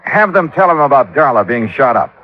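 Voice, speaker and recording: An American actor playing a cool, wry insurance investigator in a 1950s-style radio detective drama, brisk and hard-boiled. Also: male voice, mid-range voice, sing-song. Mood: brisk, probing. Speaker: lead actor and narrator of a detective serial. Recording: dull vintage radio recording with music.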